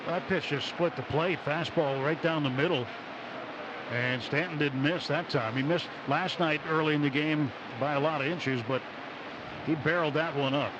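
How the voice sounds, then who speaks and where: A man's voice: a little high, normal speed. Man, color commentator, conversational baseball analysis, dull lo-fi radio sound, noisy ballpark background. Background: steady ballpark noise.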